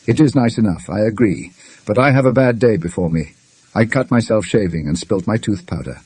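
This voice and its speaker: A man's voice: fairly low pitch, brisk, a little mellow, husky, dry recording. Male reader doing a grumbly touchy british gent, mature, gloomy and defensive, storybook style, dry close recording.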